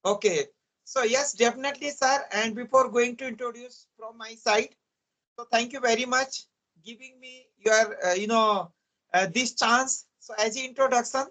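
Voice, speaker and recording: A man's voice: very high voice, steady tone, normal speed, sharp tone, poor audio. A man from Uttarakhand with an Indian accent, a native Hindi speaker, talking politely and formally as the guest in an English practice chat. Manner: polite and formal, often says "sir".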